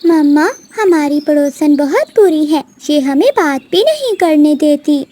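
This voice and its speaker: A woman's voice: high voice, lively intonation, unhurried, mellow. A woman voicing a sweet, chatty newly hatched bird child in a cartoon, childlike and pleading. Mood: plaintive.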